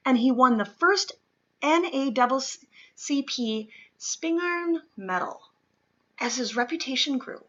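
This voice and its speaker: A woman with a fairly high, clearly bright voice reads a children's true-story picture book aloud. She phrases deliberately and leans on key words for young listeners. The recording is slightly dull and lo-fi. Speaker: read-aloud reader.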